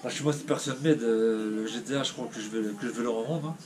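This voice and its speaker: A man speaks to his viewers with the stuffy, blocked sound of a cold. He rambles and hesitates, restarting his sentences with a downcast air. His voice sits at an ordinary pitch, over a clear layer of background noise. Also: quick pace. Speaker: YouTuber. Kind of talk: casual vlog talking to viewers.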